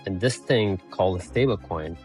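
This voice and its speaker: A man's voice: sits low, normal speed, darkish tone, faint music behind. A man from Iran, a startup founder telling his story plainly and bluntly as a remote podcast guest. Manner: blunt, plainspoken, filler-heavy. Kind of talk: casual interview storytelling. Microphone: remote call.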